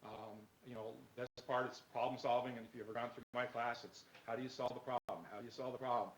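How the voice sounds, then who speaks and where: A man with a loud voice, speaking informally as a teacher and team advisor presenting at a meeting. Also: medium pitch, fairly even intonation, quick pace. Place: meeting room. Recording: echoey room with faint noise.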